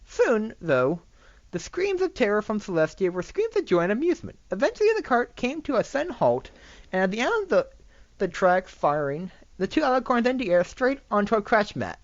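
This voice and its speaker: An amateur narrator reading a fan fiction story aloud in a halting way, often stumbling over and repeating words. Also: mid-range voice, sing-song, dry recording, dull audio.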